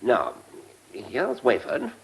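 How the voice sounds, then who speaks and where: Keen British civil servant, very animated. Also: male voice, mid-range voice, very slow, gravelly, slight background noise, full character voice, low-quality recording. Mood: keen, plotting. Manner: polite, eager, precise. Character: deferential, keen, scheming male senior civil servant. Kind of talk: mid-century British radio drama acting.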